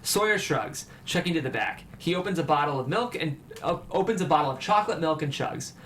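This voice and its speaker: A man's voice: fairly high pitch, expressive, brisk, fairly bright tone. A man reading scripted stage directions as the narrator of a table read. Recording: clear background noise.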